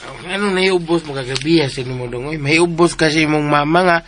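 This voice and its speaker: An actor with a southern Philippine accent playing a chatty, meddling household helper who stammers and repeats himself in a radio drama. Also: male voice, fairly high pitch, fast talker, a bit bright, close to an everyday voice. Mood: scolding.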